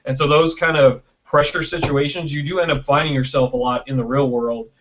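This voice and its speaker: A man's voice: mid-range voice, fairly even intonation, fairly quick, fairly bright tone. An American man speaking casually as an interview guest on an online video call, conversational and often checking in with "right?". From Michigan, United States. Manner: conversational, peppers talk with "you know" and "right?", acts out imagined quotes. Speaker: interview guest, film producer and director. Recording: dull lo-fi internet call audio.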